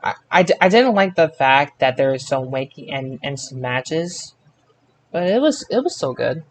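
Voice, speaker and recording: A man hosting a casual sports results podcast, speaking haltingly and drawing out his announcements. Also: mid-range voice, very animated, a little hiss or hum. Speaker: podcast host.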